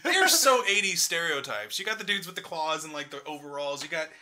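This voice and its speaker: Young guy on a gaming podcast, really bright punchy voice, kinda high, excitable banter, repeats himself. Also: a little fast. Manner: excitable, breaks into shouted mock quotes and repeats himself. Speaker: podcast co-host.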